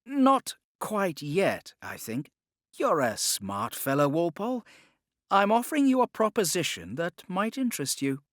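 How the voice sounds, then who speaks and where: Smug gentleman agent, male narrator acting, audiobook villain, formal, unhurried, animated intonation, dry close sound. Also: sits high, dry recording, voice pushed up, exaggerated voice. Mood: amused, coaxing. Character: smug, unruffled gentleman Templar agent, smooth persuader.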